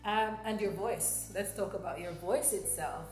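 A woman's voice: mid-range voice, a little slow, roomy sound, faint music behind, rough recording. A woman interviewing a musician casually, encouraging him with frequent affirmations.

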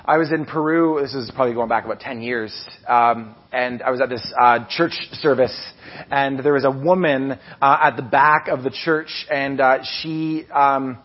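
A man with a loud voice preaching a casual, animated sermon, restarting his sentences often and poking fun at himself. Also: mid-range voice, moderate pace, highs cut off. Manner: animated, self-deprecating, many restarts. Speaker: preacher and music leader. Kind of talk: conversational sermon.

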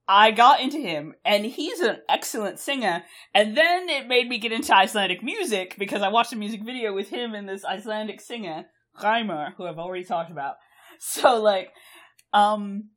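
A woman's voice: mid-range voice, lively intonation, moderate pace, a bit bright. A young woman co-hosts a podcast in loose, rambling chat. She laughs often and swears freely as she talks. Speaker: podcast co-host.

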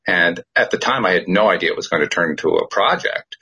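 A man's voice: deep voice, animated, fast talker, sharp tone, husky. A man hosting a podcast, chatting casually in a rambling, self-deprecating way and often repeating his words. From United States. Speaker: podcast host. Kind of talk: casual chat.

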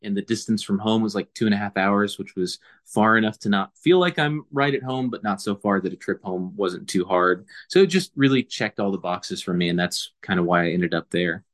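Low-voiced man musing on his past. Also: brisk, hardly any pauses, fairly bright tone. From Indiana, USA. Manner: thoughtful, rambling with restarts. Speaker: guest biologist. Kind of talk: casual interview answers.

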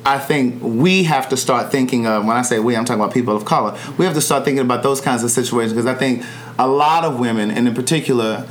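Host, male, casual chat, animated storyteller, faint hum in the background. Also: medium pitch, quick pace. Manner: animated storyteller, acts out quoted voices. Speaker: podcast host. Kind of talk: casual conversational interview.

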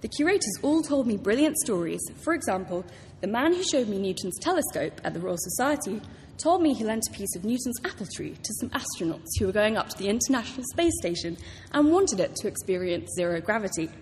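A woman's voice: mid-range voice, sing-song, a little fast, a little mellow. A British woman author giving a prepared talk to a live audience, telling stories with enthusiasm. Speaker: author introducing a public reading. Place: large hall at a public library event. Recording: reverberant hall, clear background noise.

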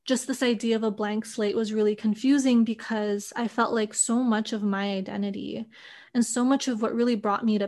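A young woman from Hawaii telling her personal story in an interview, thoughtful and full of casual fillers. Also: mid-range voice. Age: young adult. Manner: thoughtful, many fillers like "like" and "you know".

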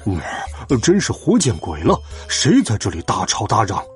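A man's voice: lowish voice, wildly swooping pitch, moderate pace, soft background music. A man voicing a grumpy, greedy storybook robber who mutters. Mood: irritated, sleepy.

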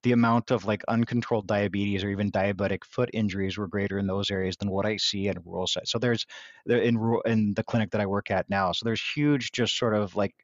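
A man's voice: on the low side, fairly even intonation, a little fast, dry recording. Filler-heavy man on a dull dry mic. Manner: loose, filler-heavy, says like a lot. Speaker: podcast co-host. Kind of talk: casual podcast talk.

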